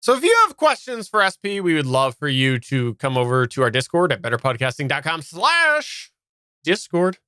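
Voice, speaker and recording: A man hosts a relaxed-format live podcast chat. His voice is fairly high and clearly bright, with a forceful edge. It is recorded dry, with little room sound. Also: normal speed, dry recording. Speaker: podcast host asking questions. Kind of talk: casual live chat hosting.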